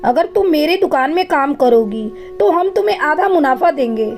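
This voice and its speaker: A woman's voice: high-pitched, lively intonation, normal speed, a little mellow. A woman voicing a helpful, bustling neighbour bird auntie in a cartoon, with emphatic, familiar talk. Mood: brisk, helpful. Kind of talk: Hindi cartoon moral-story dubbing. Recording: music behind the voice.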